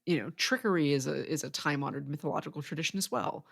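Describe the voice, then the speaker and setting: A podcast co-host explaining things in a measured way during a casual literary discussion. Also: mid-range voice, creaky, fairly bright tone.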